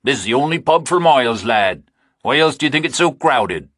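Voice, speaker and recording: A man's voice: medium pitch, animated, fairly quick, a bit bright, dry recording, clean studio sound, pitched above the performer's own voice. A man voicing blunt, impatient farmers from England, speaking. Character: gruff rural English farmers, older men.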